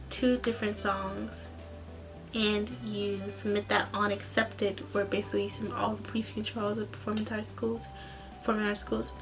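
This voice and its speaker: A woman's voice: medium pitch, rough recording. Chatty young woman telling a story over music. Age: young adult. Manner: chatty, rambling, informal. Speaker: vlogger, student singer. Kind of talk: casual storytelling vlog.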